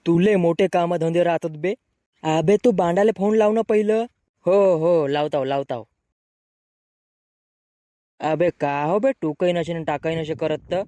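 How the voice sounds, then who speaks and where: Man playing a high-voiced brash village lad. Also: unhurried, long pauses, warm tone, no room sound, studio quality, lightly acted. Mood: grumbling banter. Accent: rural Marathi. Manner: bickering, slangy. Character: brash, grumbling young village men in a comic cartoon. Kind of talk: comic cartoon dialogue.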